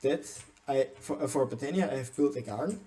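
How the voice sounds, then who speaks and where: A young Austrian man with an Austrian German accent gives a game base tour in English, his second language. His voice is dark and mellow, and he stumbles often, repeating and restarting words as he searches for them. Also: medium pitch, brisk. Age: young adult. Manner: hesitant, frequent restarts and stumbles. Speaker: gaming channel host.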